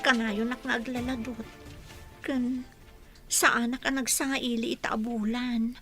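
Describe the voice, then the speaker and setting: A woman's voice: on the high side, level tone, unhurried, music in the background, lightly acted. A woman with an Ilocano accent playing a coy young sweetheart in a radio comedy-drama. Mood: worried. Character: young sweetheart, coy and worried about her parents.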